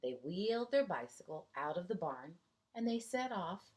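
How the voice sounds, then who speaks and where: A woman's voice: lowish voice, sing-song, moderate pace, a little mellow. A woman teacher reading a picture book aloud to young children, expressively, with asides and questions to her listeners.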